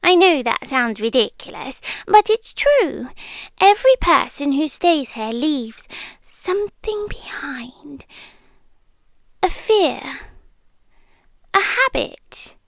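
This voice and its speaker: A British woman playing a possessive, unsettling yandere girlfriend in an ASMR roleplay, softly and breathily, often slipping into a whisper. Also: high-pitched, sing-song, no room sound, exaggerated voice. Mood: softly eerie. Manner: slow, deliberate, lingering pauses. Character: possessive, unsettling yandere demi-human girlfriend, tender yet menacing. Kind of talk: ASMR roleplay, slow intimate delivery. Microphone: close microphone, dry.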